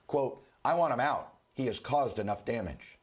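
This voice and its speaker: A man anchoring a news broadcast and interviewing a guest, speaking with a rough, hoarse voice while under the weather. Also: mid-range voice, animated. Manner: measured, formal newsreader delivery.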